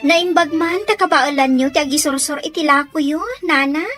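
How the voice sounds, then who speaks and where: A woman with an Ilocano accent playing a polite, soft-hearted young working woman in a radio drama. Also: sits high, moderate pace, music in the background, lightly acted. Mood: kindly.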